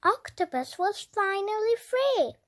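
Very high, childlike voice reading slowly. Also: big pitch swings. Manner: slow, deliberate, dramatic emphasis. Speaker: children's storybook reader. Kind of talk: expressive read-aloud narration for young children.

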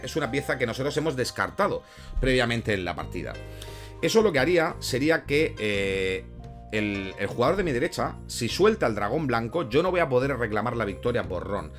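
A man's voice: mid-range voice, fairly bright tone. A young man with a Castilian Spanish accent explaining a game in an informal, didactic tutorial style, often rephrasing with examples. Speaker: gaming tutorial host. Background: background music with guitar.

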